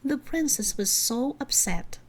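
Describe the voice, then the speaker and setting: A woman narrates a children's story at an unhurried pace. Her reading is expressive and animated in pitch, over faint background noise. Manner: expressive, measured.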